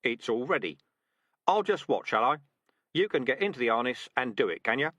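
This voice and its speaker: A man doing Dark Tan, a cocky veteran rat. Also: mid-range voice, sing-song, brisk, no room sound, dull audio. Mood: teasing. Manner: dry, sardonic, teasing. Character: cocky veteran male rat trap expert.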